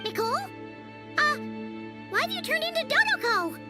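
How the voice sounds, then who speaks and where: A woman voicing a tiny, excitable childlike companion in an anime game, with a squeaky voice. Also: a little slow, heavily acted. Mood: puzzled. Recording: loud music behind.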